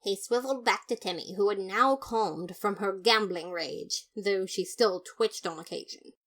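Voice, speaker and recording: A woman narrates a fan fiction audiobook in the first person. Her voice sits at an average pitch for a woman, and her delivery is theatrical and emphatic. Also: a little slow, fairly bright tone. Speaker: podfic reader.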